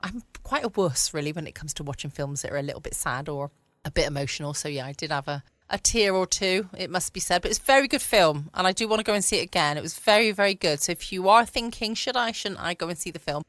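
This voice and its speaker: A woman's voice: lowish voice, lively intonation, fast talker, fairly bright tone, no room sound. Chatty British woman, close and dry. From United Kingdom. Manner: chatty, self-deprecating, asks listeners rhetorical questions. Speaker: podcast host. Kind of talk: casual late-night solo chat. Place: home, close dry recording.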